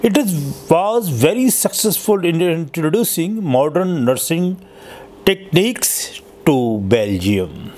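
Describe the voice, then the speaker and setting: Male narrator, slightly high voice, very slow and halting delivery, scripted historical storytelling. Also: sing-song, a little mellow. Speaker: host telling inspirational true stories.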